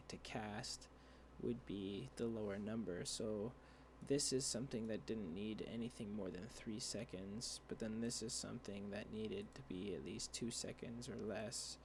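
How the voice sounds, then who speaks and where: Halting young man with a gravelly, dry voice. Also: fairly low pitch, fairly even intonation, a little hiss or hum. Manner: rambling, halting with many fillers and restarts. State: dry voice, clearing throat. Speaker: tutorial creator. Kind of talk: screen-share coding tutorial.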